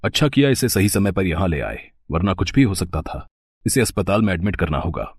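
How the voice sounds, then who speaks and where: A man voicing a serious, matter-of-fact doctor in a moral story, measured and authoritative. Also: low-pitched, sing-song, breathy edge, dry recording, clean studio sound, full character voice.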